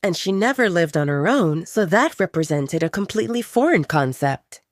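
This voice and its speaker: Expressive woman narrating an audio story. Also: lowish voice, normal speed, dry recording, studio quality.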